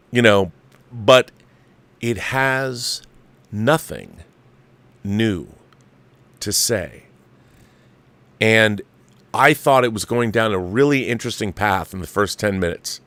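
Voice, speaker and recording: A man's voice: on the low side, animated, takes it slowly, long pauses, fairly bright tone, no room sound. A man hosting a livestream, giving casual, opinionated film commentary with a theatrical showman's turn of phrase.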